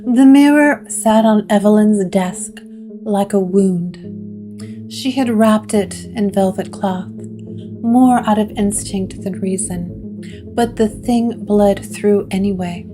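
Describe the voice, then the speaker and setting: A woman narrating a scripted gothic horror story in a dramatic, deliberate way, with plucked string music behind her. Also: mid-range voice.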